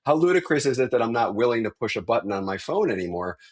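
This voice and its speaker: Husky-voiced man explaining tech trends. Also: mid-range voice, sing-song, quick pace. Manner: thoughtful, explanatory, with frequent restarts. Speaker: podcast guest, tech executive. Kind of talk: conversational interview explanation.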